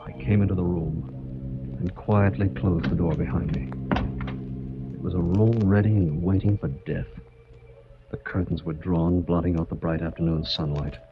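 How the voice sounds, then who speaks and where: An actor playing a bitter, brooding son full of hate, melodramatic in the style of 1940s radio. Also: male voice, sits low, fairly even intonation, moderate pace, close to an everyday voice. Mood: cold brooding. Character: bitter, hateful son waiting for father's death. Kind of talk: 1940s radio acting with first-person narration. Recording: muffled vintage radio broadcast, loud music.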